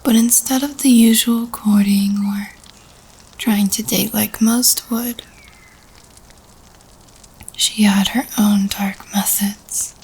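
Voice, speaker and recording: A woman playing a cute young lifeguard girl who tells a sinister campfire story in an audio roleplay, soft, whispery, measured and ominous. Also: medium pitch, steady tone, breathy edge, full character voice, poor audio. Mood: ominous, hushed.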